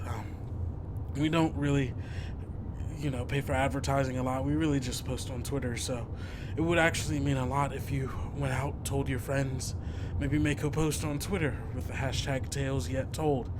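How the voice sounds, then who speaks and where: A man hosting and narrating a tabletop podcast as game master, informal and halting but descriptive. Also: mid-range voice, fairly even intonation, background noise.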